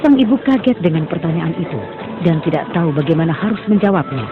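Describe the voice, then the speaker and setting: A man narrating a cartoon story in a steady, dramatic storytelling manner. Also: on the high side, fast. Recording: tinny phone-like sound under music.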